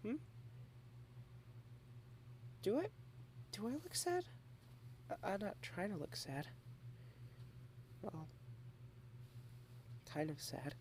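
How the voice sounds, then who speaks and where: A young adult woman in a girlfriend ASMR roleplay, rambling shyly and softly, dropping into whispers at times. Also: medium pitch, huge pitch swings, slow pace, long pauses, slight background noise. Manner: shy, rambling, soft-spoken with whispered asides.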